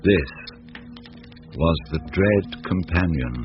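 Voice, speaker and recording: An actor narrating a radio ghost drama as a grave, measured, ominous storyteller. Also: male voice, low-pitched. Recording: dull lo-fi old radio, music behind.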